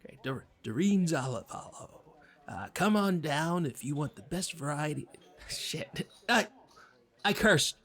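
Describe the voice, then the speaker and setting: A man playing a nervous, apologetic community radio co-host in an improvised comedy, speaking haltingly and rambling in a husky voice. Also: on the high side, animated, fairly bright tone, full character voice.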